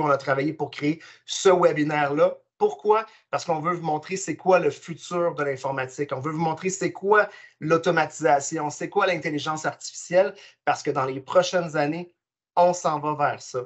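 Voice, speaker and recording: A man's voice: fairly high pitch, normal speed. A man with a Québécois French accent, hosting a webinar over a video call in an enthusiastic, friendly way. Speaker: webinar host. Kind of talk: casual webinar presentation.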